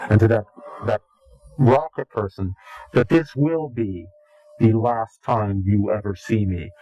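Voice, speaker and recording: Guy with a low dark voice giving grave interview testimony, slow to get words out, portentous. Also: highs cut off. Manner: grave, deliberate, halting, portentous. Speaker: interviewee, claimed insider. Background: music.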